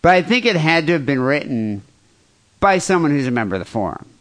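Lively-voiced male comedy podcast host. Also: a little high, fast talker. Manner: crude jokes, reads listener mail aloud. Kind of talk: casual comedy chat.

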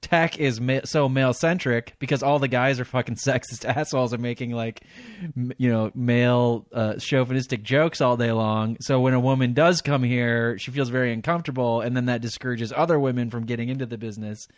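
A man's voice: medium pitch, steady tone, a little fast, dry recording. Brief: male podcast co-host, sarcastic and crude, mid-range voice, dry sound. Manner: blunt, profane, sarcastic. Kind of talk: casual chat.

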